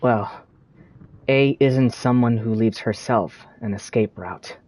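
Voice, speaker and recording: A man playing a calm, thoughtful young traveler hero in a video game, with a slightly husky voice. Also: medium pitch, slow, slight background noise, lightly acted. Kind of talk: scripted video game dialogue.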